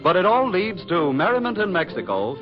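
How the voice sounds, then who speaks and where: A man's voice: high voice, sing-song, a little fast. An actor as the genial narrator of a 1940s radio drama, polished and theatrical as he addresses the listeners. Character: genial storytelling radio announcer. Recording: dull, lo-fi 1940s radio recording. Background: music.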